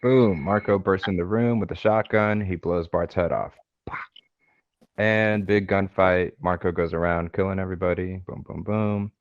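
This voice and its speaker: A man with a deep voice directs a table read, informally summarizing the action. The sound is dry and slightly dull. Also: steady tone, normal speed, dry recording.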